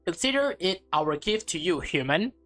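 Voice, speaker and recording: A woman's voice: on the low side, big pitch swings, moderate pace, a bit bright, faint music behind. A woman voicing a pompous alien scientist who addresses a human formally and condescendingly, read from a visual novel. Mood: grand, formal.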